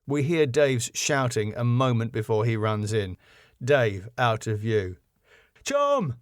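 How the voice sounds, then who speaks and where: A man's voice: medium pitch, a little slow, no echo, studio quality. A man reading out scene headings and stage directions as scripted narration. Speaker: writer and narrator.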